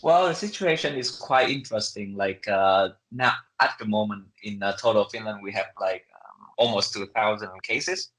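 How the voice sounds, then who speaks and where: Echoey, low-voiced young Vietnamese man. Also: fairly bright tone. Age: young adult. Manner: halting, conversational, many fillers and hedges. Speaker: interview guest, international student. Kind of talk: casual interview answers. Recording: echoey room sound.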